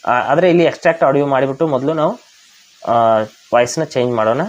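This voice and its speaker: Indian guy walking thru an app tutorial step by step, talks fast, kinda dull lo-fi audio. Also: medium pitch. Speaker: tech tutorial host. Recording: dull, lo-fi voice recording.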